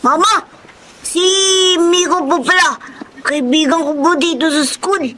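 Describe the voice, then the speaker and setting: A woman's voice: high-pitched, a little slow, bright, forceful tone. A woman voicing a playful, stubborn small boy with an eager, childlike manner in a Filipino radio drama. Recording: noisy track with sounds behind.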